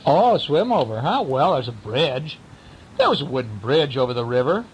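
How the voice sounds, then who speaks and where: A man's voice: very animated, normal speed, low-quality recording. A man, a father, telling a bedtime story to a child in a playful, theatrical, teasing way, at home. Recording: home recording with rumble and background noise.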